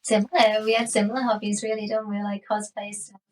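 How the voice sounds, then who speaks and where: A woman's voice: mid-range voice, flat delivery, a little fast, far from the mic. A woman in her late thirties from the Newcastle area with a Geordie accent, chatting casually as a podcast guest. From Newcastle area, England. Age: late 30s. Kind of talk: casual chat.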